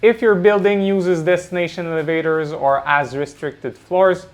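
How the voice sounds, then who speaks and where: A man delivering scripted corporate explainer narration in a measured, instructional way. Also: on the high side, fairly even intonation. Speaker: presenter of a product explainer. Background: background music.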